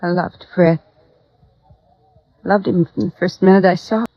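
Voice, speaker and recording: A woman's voice: on the low side, fairly even intonation, unhurried, no room sound, close to an everyday voice. An actress playing a guarded, world-weary widow, down on her luck and defiant, in a 1950s radio noir drama. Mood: self-loathing, anguished. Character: bitter, tough, down-and-out young widow. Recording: dull, dry vintage radio recording.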